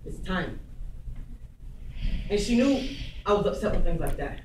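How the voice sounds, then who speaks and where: A man plays a nervous, earnest husband in mourning, in a dramatic stage monologue. The voice is fairly high and childlike, halting and doubling back on words. The microphone sits far off in a roomy space. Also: big pitch swings. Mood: troubled, earnest. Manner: hesitant, stammering, repeating words. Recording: roomy, distant microphone, faint noise.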